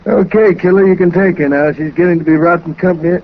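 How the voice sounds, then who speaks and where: A man's voice: high-pitched, quick pace. An actor playing a smug, menacing American gangster boss in a 1940s radio crime drama, taunting in slangy tough-guy talk. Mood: cold menace. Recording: muffled, noisy 1940s radio recording.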